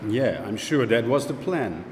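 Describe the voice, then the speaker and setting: Lively man reading in an echoey room. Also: mid-range voice, unhurried. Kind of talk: reading an interview script aloud. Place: gallery room, indoors. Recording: echoey gallery room, faint noise.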